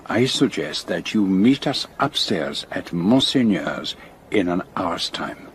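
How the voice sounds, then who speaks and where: An actor playing a shrewd, courteous middle-aged detective with a French-speaking Belgian accent, speaking formally and precisely in a radio drama. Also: male voice, low-pitched, lively intonation, takes it slowly, slight background noise. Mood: brisk, persuasive.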